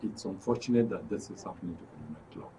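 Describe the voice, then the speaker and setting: A man with a Nigerian accent giving measured, halting, cautious remarks as a talk show guest. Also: sits low, warm tone. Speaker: studio guest. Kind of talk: interview commentary. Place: radio and TV studio. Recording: dull distant mic, background noise.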